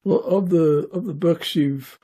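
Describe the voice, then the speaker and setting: A man's voice: a little high, measured pace, warm tone, breathy edge. A man hosting a podcast, asking questions in a casual interview style. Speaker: podcast host.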